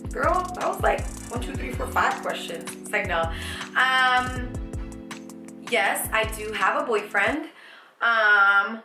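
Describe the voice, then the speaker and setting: Young female vlogger, upbeat, rambling, slightly high, echoey room over background music. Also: slow pace. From United States. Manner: chatty, animated, lots of fillers and restarts. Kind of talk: casual Q&A vlog. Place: small bedroom with shelves, indoors.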